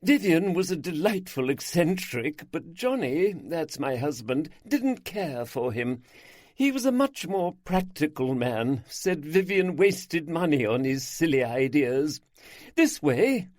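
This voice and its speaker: A man's voice: takes it slowly, no echo, studio quality. A man voicing a chatty, gossipy older woman, an aunt showing detectives around her gardens. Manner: lively, raised pitch, gossipy.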